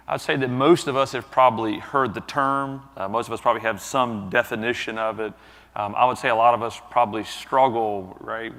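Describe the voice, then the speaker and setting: A man in his thirties with a Southern US accent, giving a conversational business talk on a conference stage through a headset mic, dropping in frequent tag questions. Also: mid-range voice. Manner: conversational, frequent tag questions, animated gestures while speaking. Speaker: conference presenter. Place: large conference hall stage. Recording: long reverb of a large hall.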